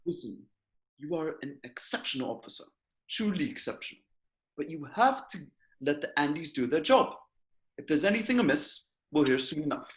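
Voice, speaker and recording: A fatherly village police chief, read by a man in a slightly high voice. He soothes and patronizes. The read comes through a video call. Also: very animated, normal speed, poor audio. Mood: placating.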